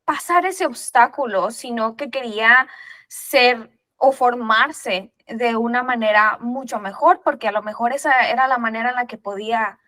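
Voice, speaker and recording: A woman hosting a faith podcast, expressive and building to emphatic points as she reflects on the guest's story. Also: on the high side, normal speed. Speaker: podcast host. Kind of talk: podcast interview, reflective preaching.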